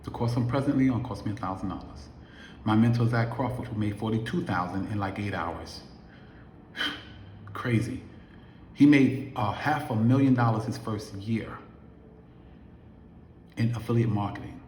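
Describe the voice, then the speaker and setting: Guy in his 50s preaching hustle, punchy, asks lots of questions, boomy roomy sound. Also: moderate pace, long pauses, darkish tone, slight background noise. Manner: emphatic, preachy, rhetorical questions. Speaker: affiliate marketer vlogger. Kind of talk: motivational talk to camera. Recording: echoey room.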